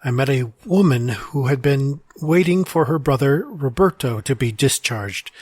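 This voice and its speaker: A man's voice: mid-range voice, no room sound, studio quality, lightly acted. A man playing a melancholy, displaced time traveller narrating his letter in a formal, old-fashioned, reflective way for an audio drama. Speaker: writer and performer of an audio drama.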